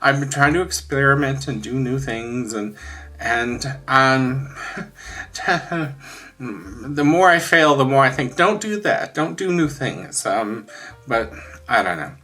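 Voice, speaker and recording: A man's voice: mid-range voice, animated, slow pace, sharp tone, breathy edge. A man in his sixties hosting a craft channel, chatting casually and candidly in a rambling, emphatic way. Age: 60s. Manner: rambling, candid, emphatic about likes and dislikes. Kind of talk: casual chat showing finished coloring pages.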